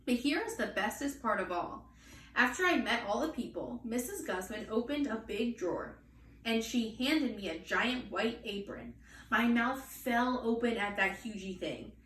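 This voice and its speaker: Female children's story reader: expressive, slightly high, distant and echoey, faint noise. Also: normal speed. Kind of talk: expressive storybook read-aloud.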